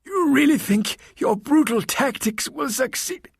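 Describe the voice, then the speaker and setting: A man voicing an aged, defiant Mother Superior in a croaky, strained voice for an audiobook. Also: very high voice, animated, a little slow, no echo, studio quality, voice pushed up, full character voice. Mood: defiant, scornful. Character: aged defiant Mother Superior, elderly nun, haughty under duress.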